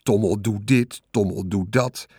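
A man's voice: fairly low pitch, unhurried, darkish tone, breathy edge, no room sound, studio quality. A man doing a grumbling sarcastic rat. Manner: sulky, mocking, complaining. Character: lazy sarcastic male rat, grumbling and self-pitying.

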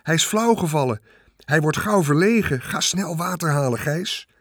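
A man voicing a blustering, excitable farmer in an audiobook, exclaiming loudly and indignantly. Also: fairly high pitch, moderate pace, dry recording, clean studio sound, voice pushed up. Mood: urgent. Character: blustering, excitable male farmer defending his prize pig. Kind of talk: audiobook character voice.

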